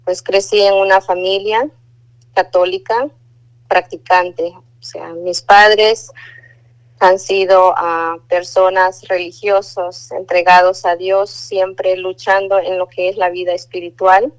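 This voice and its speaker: A woman from Guatemala, calling in by phone to share a religious testimony and recite a prayer, measured and devout with hesitant fillers. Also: fairly low pitch, little pitch movement, highs cut off. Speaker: caller giving a religious testimony. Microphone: phone line.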